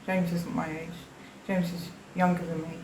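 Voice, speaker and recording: A woman's voice: fairly low pitch, level tone, moderate pace, darkish tone, slight background noise. A woman in her fifties from South Africa, giving personal testimony in a hesitant, rambling way, full of fillers and restarts. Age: 50s. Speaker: interviewee giving a witness statement. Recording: roomy echo.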